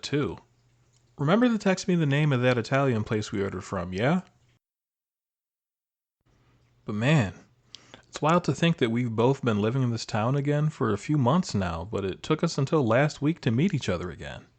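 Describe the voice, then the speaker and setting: A man acting as a shy, nostalgic old high school best friend, talking casually and hesitantly to the listener in an intimate audio roleplay. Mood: relaxed, friendly. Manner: hesitant, casual, soft-hearted, trailing off.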